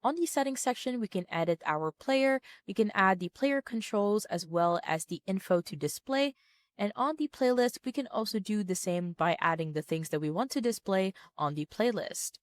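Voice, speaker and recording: A woman with a medium-pitched voice presents a how-to software guide. She speaks in an orderly, instructional manner, moving plainly from one step to the next. The sound is close and dry, with no room echo. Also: expressive, moderate pace. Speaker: tutorial presenter. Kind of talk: scripted step-by-step software tutorial narration.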